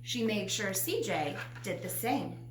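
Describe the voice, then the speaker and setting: A woman's voice: medium pitch, expressive, unhurried. A woman reading a children's picture book aloud as narrator. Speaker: storybook reader. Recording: roomy echo, faint background noise.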